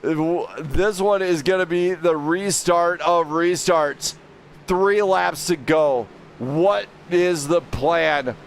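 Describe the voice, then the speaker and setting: Excitable high-voiced race commentator. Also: male voice, slow pace. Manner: excitable, shouting driver names. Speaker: sim racing commentator. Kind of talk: live play-by-play race commentary. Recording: racing game engine noise behind. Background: car engine roar from the game.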